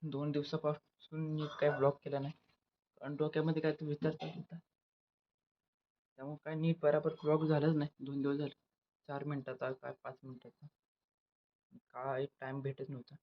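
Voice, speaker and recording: A man's voice: fairly high pitch, monotone, a little fast, long pauses. A young man with a rural western Maharashtra accent chatting casually for a daily vlog, rambling and correcting himself as he goes. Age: young adult. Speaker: vlogger. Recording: dull lo-fi phone sound, background noise.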